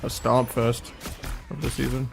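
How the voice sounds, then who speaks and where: A man's voice: medium pitch, level tone, a little slow, gravelly, faint music behind. A man giving casual, sardonic commentary on his own ranked match, muttering and swearing freely. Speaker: game streamer.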